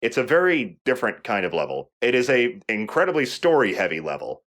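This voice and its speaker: A man's voice: mid-range voice, sing-song, a little fast, fairly bright tone. A man hosting a let's play, explaining the game casually with joking asides and some swearing. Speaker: let's play host and player.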